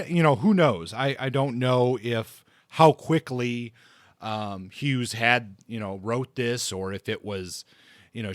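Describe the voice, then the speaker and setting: Low-voiced man explaining on a podcast. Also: no room sound, studio quality. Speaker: podcast co-host discussing books. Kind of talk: casual explanatory discussion.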